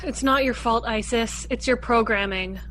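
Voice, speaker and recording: A woman's voice: fairly high pitch, normal speed, fairly bright tone, faint music behind, close to an everyday voice. A woman playing a supportive, encouraging young colonist in a student sci-fi radio play.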